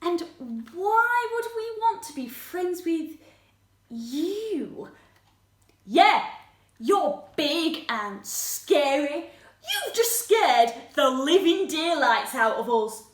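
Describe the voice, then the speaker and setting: A woman voicing a prim animal neighbour in a children's story, wary of strangers, with a haughty, deliberate manner. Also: high-pitched, sing-song, echoey room, recorded from a distance, full character voice. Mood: suspicious.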